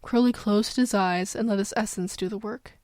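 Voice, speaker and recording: A woman's voice: mid-range voice, fairly even intonation, normal speed, a little mellow, no echo, clean studio sound. A woman reading a story as dramatic narration, getting over a cold. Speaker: fan fiction narrator.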